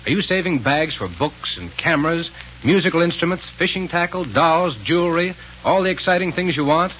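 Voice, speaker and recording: A man with an American accent as a 1930s radio announcer, pitching to children. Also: animated, moderate pace, a bit bright. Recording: muffled, noisy 1930s radio recording.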